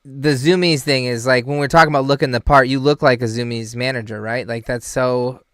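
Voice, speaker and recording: A man in his thirties hosting a relaxed interview, laughing often and calling his guest dude. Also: mid-range voice, brisk, clean tone, no echo, clean studio sound. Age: 30s. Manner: laid-back, laughs often, says dude a lot. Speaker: podcast host. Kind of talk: casual interview chat.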